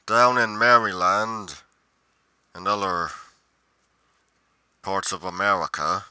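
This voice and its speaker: Man playing a slow, brooding monster investigator. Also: sits low, bright, forceful tone, clean tone, dry recording, full character voice. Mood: brooding, musing. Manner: slow, deliberate, pausing mid-sentence, musing. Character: brooding, solitary male monster investigator musing on mysteries. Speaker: roleplay performer. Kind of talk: dramatic roleplay monologue.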